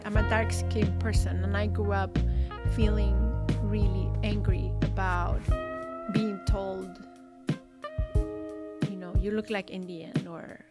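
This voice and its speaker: A woman's voice: mid-range voice, little pitch movement, slow pace, pauses often. Woman telling her story over music. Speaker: writer and journalist. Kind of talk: personal storytelling and poetry reading.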